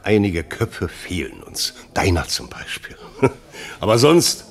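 An actor playing a proud, gruff middle-aged working man in a radio drama, plain-spoken and combative. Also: sits low, wildly swooping pitch, slow pace, exaggerated voice. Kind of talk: German radio drama acting.

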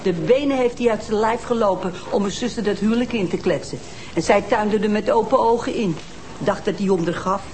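A woman's voice: mid-range voice, brisk, darkish tone, lightly acted. Actress as scornful woman, noisy soundtrack. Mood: indignant, scornful. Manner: outspoken, scornful. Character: indignant, snobbish sister of a murdered woman. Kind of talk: naturalistic TV crime drama dialogue.

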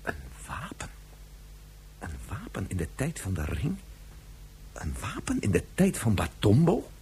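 Animated, idealistic male scientist. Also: fairly low pitch, unhurried, vocal fry, noisy background, full character voice. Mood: shaken. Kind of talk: Dutch science fiction radio drama acting.

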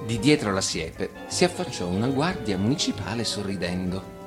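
A man, an actor, reading a children's fairy tale as expressive scripted narration. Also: fairly low pitch, normal speed, over music.

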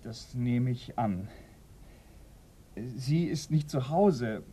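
An actor playing a polite, hesitant, earnest police detective's assistant in a German radio drama, often repeating himself. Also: male voice, medium pitch, lively intonation, extremely slow, warm tone, slight background noise. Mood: tentative, polite.

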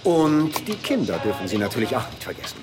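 Man playing a slick, animated ad agency man. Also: medium pitch, quick pace, music in the background, natural voice. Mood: eager pitch. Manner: salesy, anglicism-laden. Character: slick, jargon-spouting male ad agency man. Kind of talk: satirical radio drama acting.

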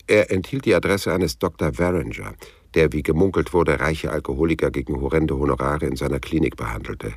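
An actor playing a laconic, world-weary private detective, narrating his case in a deadpan, sober way in a noir radio play. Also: extremely low pitch, fairly quick, no echo, heavily acted. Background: guitar music.

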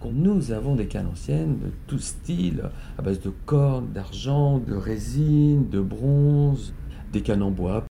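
A man gives unscripted interview answers as a shopkeeper. His voice is very dark and mellow, with a gravelly creak. Clear background ambience sits behind him. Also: medium pitch, expressive, takes it slowly, a little hiss or hum. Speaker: shopkeeper selling canes and umbrellas.

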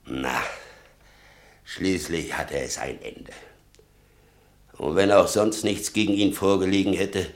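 An actor playing an embittered old father in a German radio drama, gravelly, muttering and brooding with sudden outbursts. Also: deep voice, lively intonation, slow, pauses often, full character voice. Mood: scornful. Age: older. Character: embittered old father obsessed with his convicted son.

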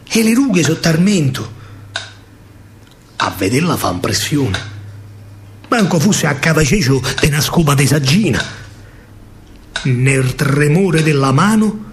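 Male theatre storyteller, Roman accent, high-pitched and dramatic, colloquial verse, faint noise on the recording. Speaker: actor-storyteller in a solo stage monologue.

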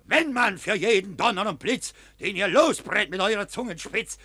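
An actor playing a fiery Capuchin friar who thunders rhymed sermons at a crowd of soldiers in a radio play. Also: male voice, high-pitched, animated, normal speed, sharp tone, no room sound, heavily acted. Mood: scolding sermon. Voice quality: rasping, thundering rhymed preaching. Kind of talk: stage verse radio play.

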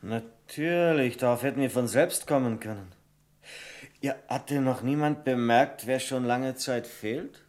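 An actor as a male senior office worker in a radio play. The voice sits at an ordinary middle pitch, with a mild and composed way of speaking suited to a crime drama. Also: measured pace, darkish tone, lightly acted. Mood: surprised.

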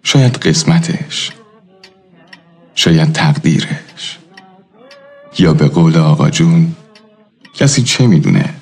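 Deep, husky male storyteller. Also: expressive, pauses often, soft background music. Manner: reflective, storytelling. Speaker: narrator. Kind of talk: literary scripted radio drama narration.

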